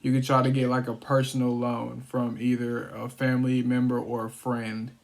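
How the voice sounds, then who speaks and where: Even-toned man making casual sales calls. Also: medium pitch, normal speed, vocal fry. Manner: informal, explanatory. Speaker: real estate wholesaler making cold calls.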